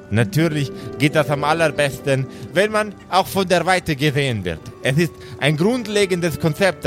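A man's voice: a little high, a bit bright. A man playing a pompous, rambling dwarf philosopher who speechifies in florid words in an improvised audio play. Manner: pompous, florid, stumbling over grand words. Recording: music behind the voice.

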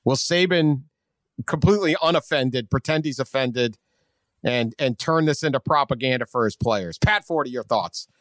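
A man's voice: medium pitch, normal speed, fairly bright tone, no room sound. A man with a Boston accent hosting a sports podcast in casual, joking chat. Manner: joking, sardonic. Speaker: podcast host.

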